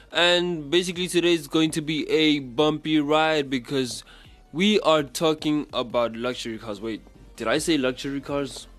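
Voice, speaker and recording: A man's voice: medium pitch, a bit bright. A man from South Africa hosting a casual radio chat show as a DJ. He talks in a chatty, rambling way and second-guesses himself mid-sentence. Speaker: radio DJ host.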